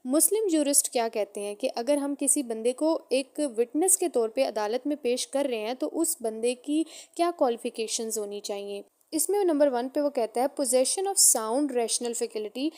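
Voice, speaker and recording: A woman's voice: high-pitched, animated, moderate pace, hardly any pauses, warm tone. A woman with a Pakistani accent giving an explanatory lecture, teaching step by step, rephrasing her points and mixing in English terms. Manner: didactic, repeats and rephrases points, mixes in English legal terms. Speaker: law lecturer and advocate.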